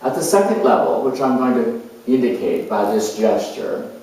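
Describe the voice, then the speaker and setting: A man giving an explanatory astrology lecture in a measured, deliberate way. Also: medium pitch, very animated, darkish tone. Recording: very echoey room, distant microphone.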